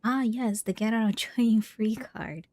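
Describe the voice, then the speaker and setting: A woman's voice: fairly high pitch, unhurried, darkish tone, natural voice. A woman voicing a dry-humored young female adventurer in an anime video game, with a wry, teasing manner.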